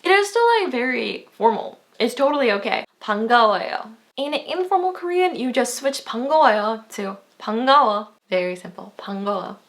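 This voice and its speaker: A young woman in her twenties who talks fast, with a fairly high voice. She teaches in a chatty, upbeat way, laughing as she explains. Her words come in quick, eager runs. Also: lively intonation. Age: 20s. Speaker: language teacher. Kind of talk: video language lesson.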